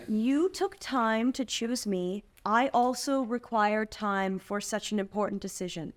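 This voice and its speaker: A woman playing a calm, shrewd princess in a fantasy screenplay table read, speaking formally and diplomatically. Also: mid-range voice, unhurried, lightly acted. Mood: firm, composed. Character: calm, stoic, shrewd young princess bargaining with captors.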